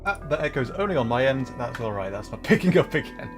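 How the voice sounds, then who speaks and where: A man's voice: medium pitch, sing-song, quick pace. Rambling male streamer over music. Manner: rambling, self-deprecating, apologetic. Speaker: VTuber streamer. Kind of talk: casual livestream chat. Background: background music.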